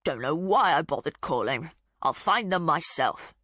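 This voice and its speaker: A woman's voice: low voice, lively intonation, measured pace, a bit bright, no echo, clean studio sound, heavily acted. A woman doing a confused, touchy old father. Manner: forgetful, defensive, snapping.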